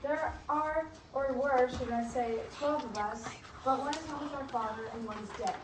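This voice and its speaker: A woman's voice: high voice, normal speed, close to an everyday voice. A woman playing a cheeky, protesting young brother in amateur readers' theatre. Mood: defensive. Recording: distant echoey room, faint noise.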